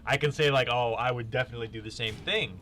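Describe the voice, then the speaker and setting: A man in his twenties chatting casually over a game, telling stories in a halting way and repeating phrases. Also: mid-range voice, fairly bright tone. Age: 20s. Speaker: gamer telling stories while playing. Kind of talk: casual banter over gameplay.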